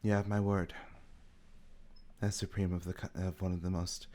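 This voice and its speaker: A man voicing a composed, courteous woman who leads a witch coven in a tabletop game, formal and measured. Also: low voice, little pitch movement, mellow, natural voice. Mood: solemn.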